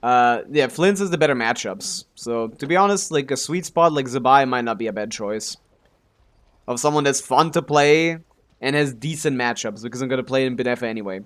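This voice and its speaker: A man's voice: mid-range voice, a little fast, a bit bright. A man chatting casually as he livestreams a video game, rambling and complaining with plenty of swearing. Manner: profane, complaining. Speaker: live streamer playing a video game. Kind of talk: casual rambling gaming chat with viewers.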